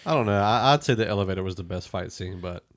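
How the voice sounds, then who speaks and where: A man's voice: lowish voice, fast. A man chatting casually as a podcast panelist, putting in brief remarks about a film. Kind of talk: casual chat, brief interjections.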